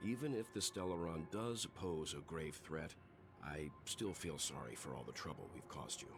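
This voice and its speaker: An actor playing a composed, sympathetic, polite gentleman in a video game, speaking considerately. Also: a bass voice, moderate pace, noisy background. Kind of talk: dramatic video game voice acting.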